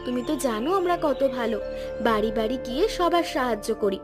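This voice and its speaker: Woman doing a shrill sly gossip. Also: lively intonation, quick pace, close to an everyday voice. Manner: sugary, protesting innocence. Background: loud background music.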